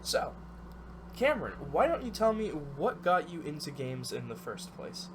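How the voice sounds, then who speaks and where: Male host, higher voice, animated intonation, noise in the background. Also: moderate pace. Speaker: podcast host. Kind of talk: interview hosting. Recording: noisy background.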